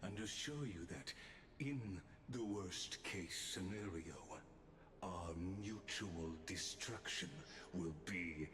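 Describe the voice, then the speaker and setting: A man voicing a calm, ominous, coldly logical robot in a video game, speaking formally and deliberately. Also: low voice, a little hiss or hum. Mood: coldly warning. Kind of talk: scripted video game dialogue. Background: loud game soundtrack.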